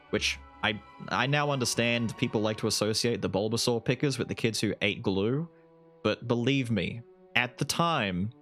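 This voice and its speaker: A young Australian man with a lowish voice talks through fan questions in loose, rambling chat, often laughing at himself. Faint background music runs quietly under his voice. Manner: rambling, self-deprecating, quick asides. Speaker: YouTube gaming creator answering viewer questions. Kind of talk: casual Q&A chat.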